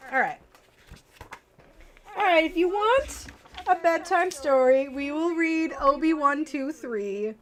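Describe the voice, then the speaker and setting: A woman's voice: high-pitched, fairly bright tone. A woman hosting a livestream, switching between informal chat with viewers and reading children's bedtime stories aloud. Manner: informal, chatty, addressing viewers directly. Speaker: livestream host.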